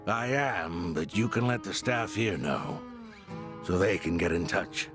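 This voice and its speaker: A serene, fatherly leader of a faith in a game cutscene. He speaks slowly and low, with gentle, measured formality, while music plays clearly behind him. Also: animated. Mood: calm, kindly. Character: serene, fatherly male leader of a religious group. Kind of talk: dramatic game cutscene acting.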